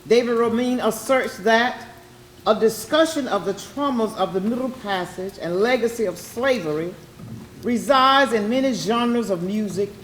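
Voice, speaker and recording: A woman's voice: fairly high pitch, normal speed. A woman giving a formal lecture, reading aloud from a prepared text. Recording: echoey room, faint noise.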